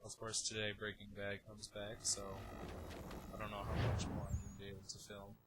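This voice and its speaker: A man with a fairly low voice gives casual commentary over a video game. He is joking, sarcastic and a bit crude. The recording is heavily noisy. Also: completely flat delivery, brisk. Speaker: let's play video commentator. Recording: very noisy, game sound behind.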